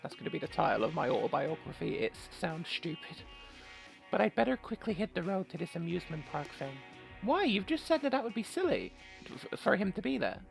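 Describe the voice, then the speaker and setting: A very creaky, gravelly voice reads game text aloud and cuts in with mocking, sweary asides. The delivery is that of a joking player, with faint music behind it. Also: mid-range voice, big pitch swings, fairly quick, rough recording. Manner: sarcastic, sweary, mocking interjections. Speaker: video game player reading a visual novel aloud.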